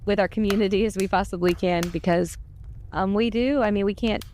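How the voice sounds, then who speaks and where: A woman's voice: mid-range voice, brisk, darkish tone, slight background noise. A woman chatting casually and informally about a podcast project, in a gushing, talkative way. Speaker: podcast cast member and volunteer. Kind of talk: casual chat.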